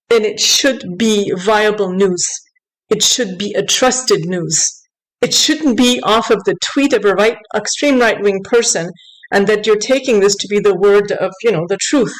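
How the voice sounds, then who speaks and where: Insistent, upset woman with a higher-pitched voice. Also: poor audio. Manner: switches between English and French while reading aloud. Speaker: online commentator. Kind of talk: unscripted news commentary.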